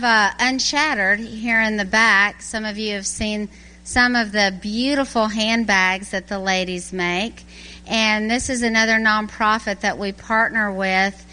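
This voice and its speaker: A woman's voice: fairly high pitch, bright, forceful tone, smooth. A woman on ministry staff, talking to a church congregation in a casual, conversational way with frequent fillers. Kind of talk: church presentation. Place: large church sanctuary. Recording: long hall reverb in church.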